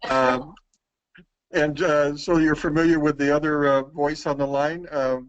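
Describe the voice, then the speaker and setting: A man's voice: fairly high pitch, level tone, measured pace, rough recording. A man co-hosting and moderating an online panel, introducing speakers politely with frequent fillers. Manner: courteous, filler-heavy introductions. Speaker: co-host and moderator.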